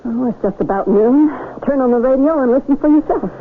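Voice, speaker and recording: Actress as an anxious, very high-voiced wife. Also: female voice, a little fast, natural voice. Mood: wry. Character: anxious, pleading wife of a small-town mayor. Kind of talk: 1980 radio drama acting. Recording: noisy, tinny old radio broadcast recording.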